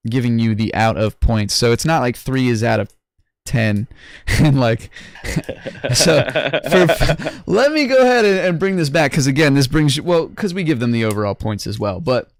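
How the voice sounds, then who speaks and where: A man's voice: medium pitch, animated, normal speed, no room sound, studio quality. A young man hosting a livestream, casually rating music contest entries in loose, slangy talk full of restarts. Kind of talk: casual livestream review chat.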